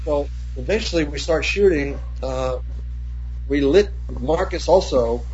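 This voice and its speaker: An older man, a cinematographer, telling rambling, profane set stories with many restarts, in a husky voice. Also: a little high, a little slow, a little mellow, slight background noise. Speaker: cinematographer guest. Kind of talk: casual anecdote. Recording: dull lo-fi recording.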